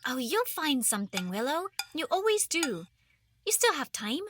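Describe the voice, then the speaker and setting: High-pitched cautious little sister. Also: female voice, big pitch swings, moderate pace, music in the background. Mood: reassuring. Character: young village girl, cautious supportive little sister. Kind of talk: fantasy audio drama acting.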